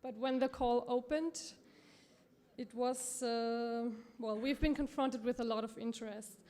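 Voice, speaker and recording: A woman from Austria with an Austrian accent, giving a conference presentation in a halting way with many fillers and self-corrections. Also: on the high side, fairly even intonation, dark tone. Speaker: conference speaker from a promotional bank.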